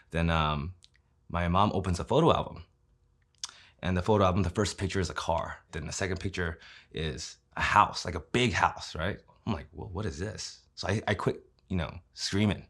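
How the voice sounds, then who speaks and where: Man in his late thirties, low voice, casual and candid telling his story. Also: fairly bright tone. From Kansas City, Missouri, USA. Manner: conversational, often adds tag questions and fillers. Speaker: interviewee. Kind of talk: personal storytelling interview.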